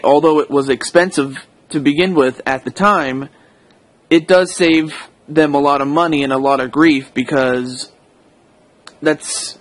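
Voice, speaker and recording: An American man talks through an unscripted personal audio log in a slightly high voice. His delivery is slow and hesitant, wandering and doubling back to fix his own phrasing. The sound is dry, with very little room echo. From United States. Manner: hesitant, rambling, self-correcting. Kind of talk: casual unscripted monologue.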